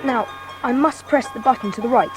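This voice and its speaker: A woman's voice: a little high, a little fast, over loud music, lightly acted. A woman playing a brave, earnest schoolboy with an urgent manner in an audiobook drama. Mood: urgent pleading.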